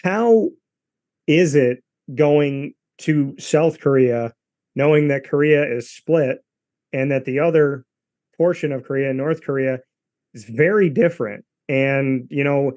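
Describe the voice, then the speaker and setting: A man's voice: mid-range voice, takes it slowly, a little mellow. A man hosting a sports talk show, asking a guest long, winding questions and restarting his sentences. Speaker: podcast host, interviewer.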